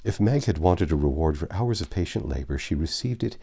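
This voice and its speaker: Deep, mellow male storybook narrator. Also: animated, a little fast, creaky, slightly rough. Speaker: audiobook narrator. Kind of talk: scripted literary narration, storybook reading.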